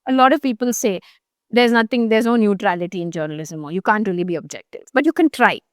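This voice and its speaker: A woman talks through a complex subject as an interview guest. She thinks aloud, pausing with fillers and restarting her sentences, at a middling pitch. The sound is close and dry. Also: animated, no echo. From Chennai, India. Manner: thoughtful, explanatory, frequent fillers and restarts. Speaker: journalist guest. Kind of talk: conversational interview.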